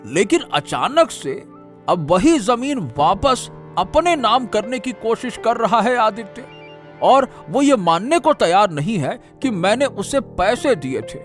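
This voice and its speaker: Guy doing troubled village farmer, cartoon, high n swoopy voice, plaintive. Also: big pitch swings, measured pace, faint music behind. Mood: aggrieved. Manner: deferential, plaintive. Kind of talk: Hindi cartoon moral story dialogue.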